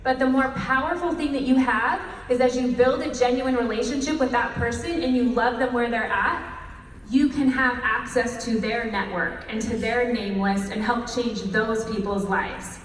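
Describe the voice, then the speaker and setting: A woman's voice: a little high, moderate pace, slight background noise. A woman hosting a breakout session, speaking to an audience in an upbeat, motivational way. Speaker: session host. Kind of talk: presentation to an audience. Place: large echoey hall. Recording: roomy hall recording from a distance.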